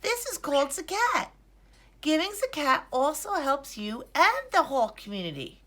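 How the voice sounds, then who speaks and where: A woman's voice: sits high, big pitch swings, moderate pace, fairly bright tone, pitched above the performer's own voice. A woman voicing an earnest, patient young boy in a children's storybook, explaining things instructively.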